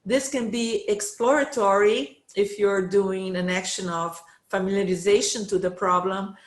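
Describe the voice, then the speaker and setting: A Brazilian-accented woman in her fifties presents to an online audience as a professor, speaking English as a second language. She pauses often, filling gaps with "uh" and restarting phrases as she reaches for the right word, at a mid pitch typical for a woman. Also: steady tone. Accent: Brazilian Portuguese. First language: Portuguese. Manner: hesitant, many fillers, searching for words.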